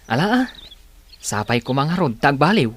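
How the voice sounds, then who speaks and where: A man's voice: on the high side, very animated, moderate pace, a little hiss or hum. An actor playing a concerned male village friend in an Ilocano radio drama. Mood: concerned, sceptical.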